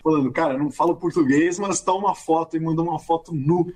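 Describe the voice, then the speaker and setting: A man talking as a guest about music history, telling the story in a relaxed way and often adding short tag questions. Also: on the high side, fast. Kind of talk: conversational storytelling.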